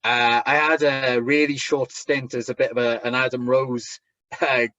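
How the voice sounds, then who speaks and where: A man's voice: medium pitch, level tone, a bit bright, dry recording, muffled recording. Man with a thick Welsh accent. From Wales. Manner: halting, frequent restarts and fillers. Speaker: wrestler and promoter guest. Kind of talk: casual interview chat.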